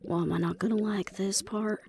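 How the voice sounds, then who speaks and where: A woman's voice: medium pitch, fairly even intonation, moderate pace, vocal fry, a little mellow, a little hiss or hum, close to an everyday voice. A woman playing a sardonic, impatient and proud female vampire in a fantasy audio roleplay, speaking with sarcasm and disbelief. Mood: incredulous. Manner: sarcastic, incredulous.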